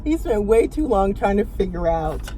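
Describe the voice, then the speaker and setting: A woman's voice: medium pitch, very animated, normal speed, dark tone. An American woman explaining things casually and comically as a show host, playfully putting on mock voices for the people she quotes.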